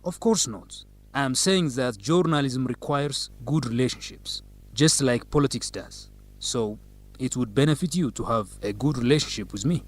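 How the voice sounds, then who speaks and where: An actor playing a smooth, evasive male student politician in an educational radio drama, speaking in a measured, insistent, diplomatic way. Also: medium pitch, close to an everyday voice. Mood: defensive, firm.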